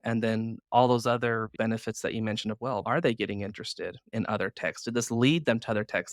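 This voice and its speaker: A man with a medium-pitched voice hosts a podcast interview, recorded dry with almost no room echo. He talks conversationally, affirming points and reasoning aloud. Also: a bit bright. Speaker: podcast host.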